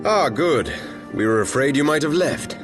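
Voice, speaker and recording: An actor playing an earnest, dutiful young noble heir in a fantasy game, speaking formally in archaic phrasing. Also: male voice, mid-range voice, sing-song, moderate pace. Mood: gracious. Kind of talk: scripted fantasy game drama. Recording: game cutscene with faint music.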